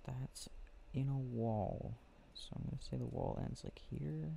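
A young adult man doing a soft, casual ASMR boyfriend roleplay, murmuring intimately and unhurriedly to a listener close by. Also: mid-range voice, steady tone, measured pace, gravelly, a little hiss or hum. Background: clicking and game ambience.

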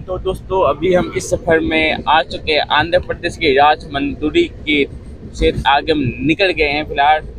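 High-pitched young man vlogging over loud noise. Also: little pitch movement, moderate pace. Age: 20s. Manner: chatty, informal, addressing viewers as friends. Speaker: travel vlogger. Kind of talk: casual travel vlog narration and chat with passengers. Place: crowded train carriages and railway platforms. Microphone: phone at arm's length. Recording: loud train and outdoor ambient noise.

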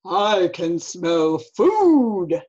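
A woman plays a big hungry bear from a picture book, greedy and booming. The words are stretched out very slowly with relish, and the pitch swoops about. The sound is slightly dull. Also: very animated, a little mellow, breathy edge, heavily acted. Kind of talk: children's picture-book read-aloud.

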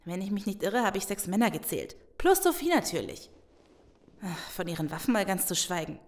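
An actress playing a sceptical, resigned survivor in an audio drama, speaking frankly. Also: female voice, mid-range voice, big pitch swings, fairly quick.

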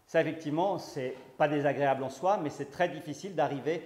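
A man in his thirties giving a lecture in a library room, earnest and halting, with many restarts and repeated words. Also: a little high, darkish tone. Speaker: conference speaker. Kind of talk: lecture talk. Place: library room with bookshelves, indoors. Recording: echoey room from a distance.

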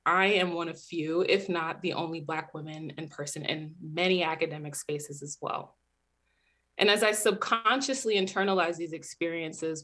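A young American woman from New Jersey giving a measured, reflective personal talk as a PhD student, recorded over a webcam. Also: lowish voice, a bit bright. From New Jersey, USA. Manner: earnest, measured, reflective storytelling. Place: indoor room at home.

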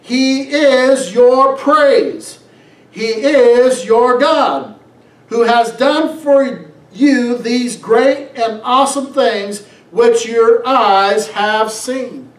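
Middle-aged male pastor, high voice, slow emphatic preaching, recorded in an echoey church. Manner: emphatic, exhorting, drawn-out phrases with rhetorical questions. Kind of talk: preaching a sermon, reading scripture aloud. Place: small church sanctuary, on stage. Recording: echoey room, distant from mic.

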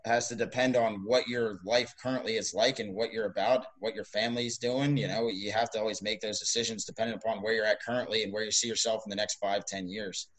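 A man's voice: fairly low pitch, fairly even intonation, quick pace, few pauses, fairly bright tone, rough recording. A man in his mid twenties, a college strength coach co-hosting a podcast, rambling in an upbeat casual chat with many fillers. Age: 20s.

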